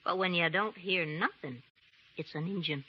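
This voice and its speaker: An actress playing a rowdy, tough frontier woman with rough slang, in a 1930s radio play. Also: lowish voice, moderate pace. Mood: folksy. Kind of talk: 1930s radio drama acting. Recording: dull old radio recording.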